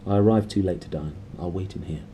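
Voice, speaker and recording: A man's voice: low voice, fast, warm tone, slight background noise. A man voicing a commanding, aloof aristocratic explorer in a storybook, speaking curtly.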